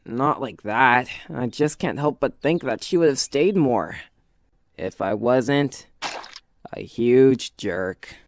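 A woman's voice: rumbling low, expressive, takes it slowly, a little hiss or hum, heavily acted. A woman voicing a guilt-ridden, downcast teenage boy in a cartoon comic dub. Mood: glum. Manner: self-blaming, downcast.

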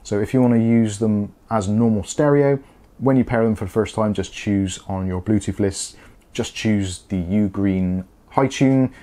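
Low, mellow British man reviewing gadgets. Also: a little slow. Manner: conversational, explanatory. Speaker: product reviewer. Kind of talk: casual unboxing review to camera. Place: small home studio room, indoors.

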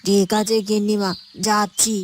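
A woman's voice: medium pitch, little pitch movement, normal speed, natural voice. A woman voicing a plain-spoken village washerwoman in a cartoon, with a folksy, complaining manner. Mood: pleading. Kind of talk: cartoon voice acting. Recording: noisy track with birdsong.

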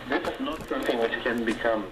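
A man giving measured, hesitant explanations in an unscripted broadcast discussion, heard through a shortwave radio speaker. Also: mid-range voice, heavy background noise. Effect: played through a shortwave radio speaker. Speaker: radio commentator on tourism.

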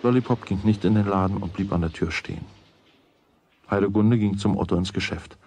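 An actor narrating a children's radio play as a wry storyteller with a husky voice. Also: male voice, sits low, fairly quick, darkish tone, a little hiss or hum, close to an everyday voice. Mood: wry, matter-of-fact.

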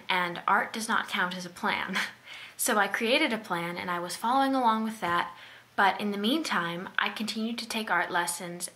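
A young woman delivers a prepared speech in an earnest storytelling way, her voice bright and forward at a middle pitch. She speaks close to a webcam in a small indoor room. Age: young adult. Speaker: keynote speaker. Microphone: webcam at close range.

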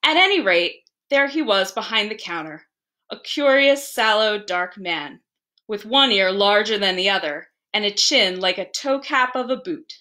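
Bright-voiced woman narrating a story. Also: mid-range voice, animated, a little slow. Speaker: library staff reader.

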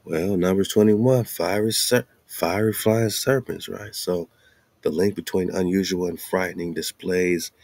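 A man's voice: deep voice, steady tone, a little slow, a little mellow, no echo. An American man hosting, reading a text aloud and breaking into colloquial, off-the-cuff commentary with emphatic asides. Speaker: host.

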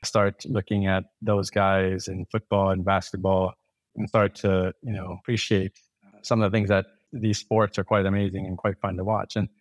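A man's voice: deep voice, level tone, normal speed, dry recording. A man in his forties from Thailand, a podcast guest telling long stories in a rambling way, with many restarts and fillers. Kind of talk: casual interview storytelling.

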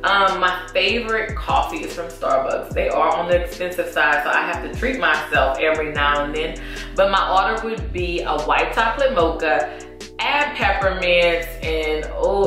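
A woman's voice: deep voice, a bit bright. A woman, chatting casually to viewers while doing her makeup. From Louisiana. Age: 30s. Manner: chatty, addresses viewers as y'all. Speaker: beauty vlogger. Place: small indoor room against a plain wall. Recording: very echoey room with music behind.

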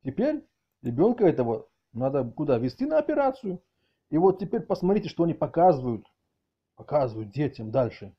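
A middle-aged man with a husky voice doing a mock-outraged comic rant, halting and repeating words as he exclaims. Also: on the high side, big pitch swings. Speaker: video channel host. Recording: dull lo-fi audio, faint music behind.

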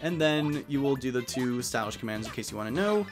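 A man chats through a video game playthrough in an easygoing, mid-pitched voice, explaining each move. Loud game music runs under his talk. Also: lively intonation, a little fast. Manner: chatty, explaining game mechanics. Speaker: let's play host. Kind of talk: casual gameplay commentary.

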